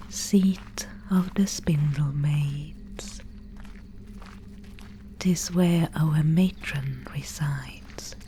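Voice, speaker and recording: Woman acting as eerie fantasy spindle maid, very slow whisper, solemn, possessive, archaic phrasing, ASMR roleplay, faint noise behind. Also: low voice, mellow, heavily acted. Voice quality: breathy whisper.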